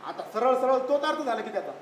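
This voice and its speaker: A man's voice: very high-pitched, big pitch swings, quick pace. A man playing a pragmatic, argumentative elder brother in a live stage play, matter-of-fact and insistent. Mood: reproachful. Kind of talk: live one-act stage play acting. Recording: echoey stage recording, faint noise.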